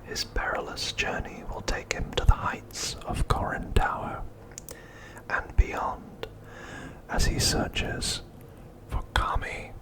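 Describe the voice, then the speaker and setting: A man hosting an ASMR video, speaking in a hushed, deliberate whisper. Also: poor audio. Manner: hushed, slow, deliberate delivery.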